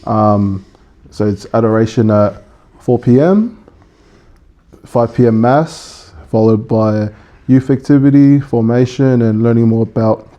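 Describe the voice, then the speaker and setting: A man in his twenties from Samoa, a podcast guest chatting casually with many pauses and fillers. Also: medium pitch, dark tone, highs cut off. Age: 20s. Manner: easygoing, laughs often, many ums.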